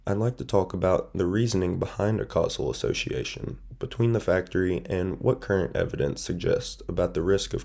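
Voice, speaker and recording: Deep, gravelly young man, nasal. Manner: measured, formal, steady delivery. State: stuffy from a sinus infection. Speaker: student presenter. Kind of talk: read class presentation.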